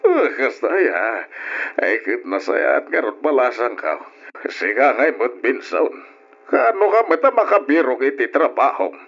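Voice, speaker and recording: A man's voice: medium pitch, sing-song, a little slow, fairly bright tone, exaggerated voice. A stern, scolding father, muffled radio. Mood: doting then dismissive. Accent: northern Philippine. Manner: gruff, sarcastic, scolding. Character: stern, scornful middle-aged father belittling his son. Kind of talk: Ilocano radio drama acting. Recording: dull lo-fi old radio.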